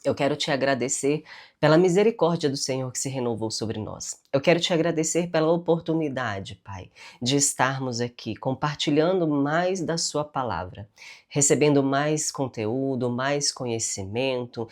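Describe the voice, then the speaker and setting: A woman's voice: extremely low pitch, moderate pace. Brazilian woman all stuffed up from a cold, nasal voice, chatty devotional talk and prayer, keeps asking "né?". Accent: Brazilian Portuguese. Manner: warm and chatty, with frequent tag questions.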